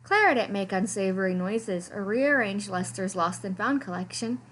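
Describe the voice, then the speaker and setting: A woman reading a children's story aloud in an animated, expressive way, with dramatic emphasis on descriptive words. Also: mid-range voice, normal speed, a little hiss or hum. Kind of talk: expressive picture-book read-aloud.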